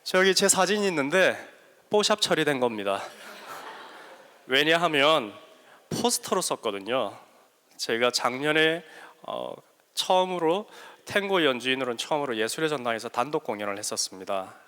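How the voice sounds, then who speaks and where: A man with a bright, forward voice and a regional Korean dialect showing through his learned Seoul speech, lecturing students with self-deprecating humor and hesitant pauses. He speaks into a handheld microphone. A long hall reverb trails each phrase. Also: medium pitch, expressive, smooth. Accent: Korean regional dialect under learned Seoul speech. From South Korea. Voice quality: joking, self-deprecating, with frequent hesitant fillers. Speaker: guest mentor lecturer. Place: large hall at a school workshop.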